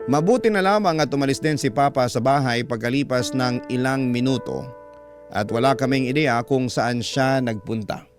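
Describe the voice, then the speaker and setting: A man's voice: mid-range voice, moderate pace. A man with a Filipino accent reading a letter aloud as dramatic scripted radio storytelling. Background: background music.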